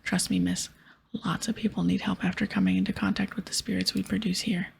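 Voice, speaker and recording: A woman voicing a cheeky, shifty male pirate who was once a priest, in a breathy whispered game reading. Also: mid-range voice, flat delivery, brisk, slight background noise. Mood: sly. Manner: sly, offhand.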